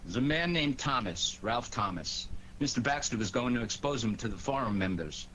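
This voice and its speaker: An actor playing an earnest, deferential private secretary with an American accent, speaking politely in a 1940s radio drama. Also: male voice, medium pitch, expressive, moderate pace, bright, forceful tone. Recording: faintly noisy 1940s radio recording.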